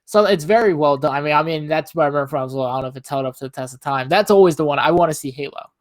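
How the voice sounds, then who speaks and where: A man's voice: fairly high pitch, racing, no room sound. Young man chatting casually, dry close sound. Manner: informal, rambling explainer. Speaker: podcast co-host. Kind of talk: casual pop-culture chat.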